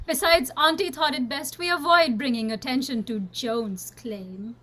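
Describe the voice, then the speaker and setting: A woman's voice: high-pitched, measured pace, fairly bright tone, a little hiss or hum. A woman playing an earnest, candid young niece of a noble family in a radio play.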